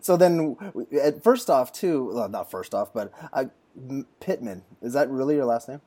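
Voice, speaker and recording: A man's voice: medium pitch, animated, moderate pace, dark tone, dry recording. A man hosting a podcast in a casual, conversational interview style. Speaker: podcast host.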